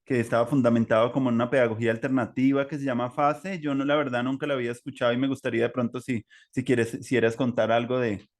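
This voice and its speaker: Colombian man, mid-pitched, chatting informally. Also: flat delivery, quick pace, hardly any pauses. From Manizales, Colombia. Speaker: interviewer, podcast host. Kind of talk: casual chat.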